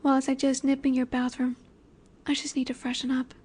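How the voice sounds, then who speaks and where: A woman acting a high-pitched, teasing alpha she-wolf. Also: monotone, darkish tone, slightly rough. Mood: intrigued, seductive. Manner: teasing, sultry, unhurried with drawn-out pauses. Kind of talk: roleplay audio acting addressed to the listener. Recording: bar chatter in the background.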